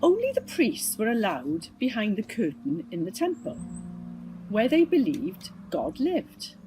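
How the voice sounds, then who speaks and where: A woman's voice: medium pitch, wildly swooping pitch, a little slow, music in the background, low-quality recording. A woman reading a children's Bible story from a script. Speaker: storybook reader.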